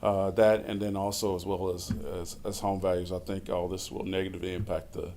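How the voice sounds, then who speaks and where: A man's voice: low-pitched, level tone, fairly quick, dark tone, slight background noise. A man giving public comment at a city meeting into a podium microphone, hesitant and repeating words. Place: city council chamber.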